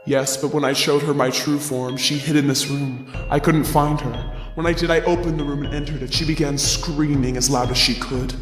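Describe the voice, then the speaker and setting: An immortal, shapeshifting creature haunts this audio drama with slow, menacing taunts. The voice rings through long reverb, with prominent music underneath. Its repeated phrases sound threatening and eerie. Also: male voice, a little high, steady tone. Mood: eerie, brooding. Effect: echo.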